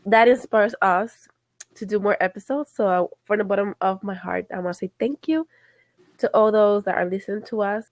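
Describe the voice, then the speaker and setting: A woman with a cold chats as a podcast co-host, her voice nasal and stuffed up. She talks in a mid-range pitch and laughs easily between thoughts. The sound is close and dry, with no room behind it. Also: no echo. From Latin America. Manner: chatty, laughs often, code-switches into Spanish. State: sick with a cold, congested. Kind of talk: casual podcast chat.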